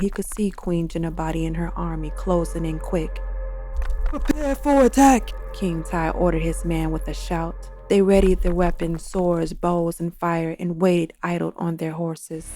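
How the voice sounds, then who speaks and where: Mellow-voiced woman narrating a dark fantasy. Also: lowish voice. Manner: steady, expressive reading. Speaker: author and narrator. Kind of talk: dramatic storybook narration.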